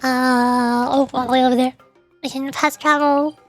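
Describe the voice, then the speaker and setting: High-voiced playful woman streamer. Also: flat delivery, moderate pace, faint music behind. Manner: playful, teasing. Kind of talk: casual game livestream chat.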